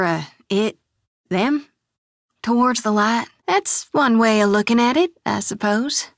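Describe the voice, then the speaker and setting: Folksy young priestess, plainspoken, slightly high voice, dry close recording. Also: female voice, a bit bright, no echo. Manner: folksy, plainspoken, lots of "ain't" and "well, hell". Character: devout young female priest, folksy and earnest. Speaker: party companion. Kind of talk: video game voice lines.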